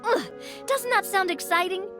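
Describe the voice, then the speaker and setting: A woman's voice: very high-pitched, normal speed, music in the background. An actress playing a bold, playful young woman with breezy, persuasive enthusiasm in an anime game. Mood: excited.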